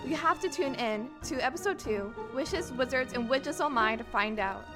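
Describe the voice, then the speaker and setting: A woman's voice: high-pitched, normal speed, over music. A woman hosting an audio play with scripted, dramatic announcements. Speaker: host.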